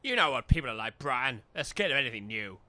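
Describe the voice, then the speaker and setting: A man's voice: mid-range voice, big pitch swings, quick pace, pushed and bright, no echo. A man playing a scheming, persuasive businessman with an English accent in a comic audio drama. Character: smooth-talking, scheming male English businessman.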